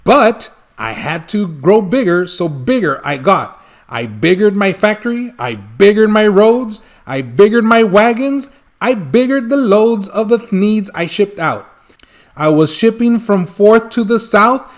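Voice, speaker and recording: A man's voice: high voice, pitched above the performer's own voice. A man voicing a greedy, defiant storybook businessman, boastful and insistent about his growth. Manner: boastful, insistent, repetitive emphasis. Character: greedy, defiant businessman justifying his ever-growing factory.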